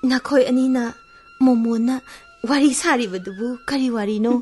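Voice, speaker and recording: A frail, weary female flower plant in a radio drama. The voice is fairly high and husky, plaintive yet very quick. Faint music plays behind. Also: darkish tone. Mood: weary, wistful. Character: weary, frail talking ground flower, female, longing for water. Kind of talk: radio play acting.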